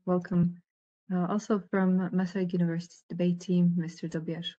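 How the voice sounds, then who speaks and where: A woman speaking as the host of a debate tournament, making formal announcements over a video call with a husky voice. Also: lowish voice, flat delivery, a little fast, mellow, highs cut off.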